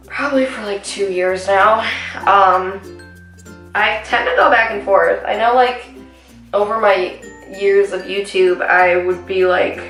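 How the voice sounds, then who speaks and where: Raspy young new woman doing a makeup tutorial, chatty and rambly, echoey room, a bit far from the mic. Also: mid-range voice, a bit bright. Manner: chatty, rambling with many ums. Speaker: beauty vlogger. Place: small room indoors at home. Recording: roomy echo, voice a bit off-mic, faint music.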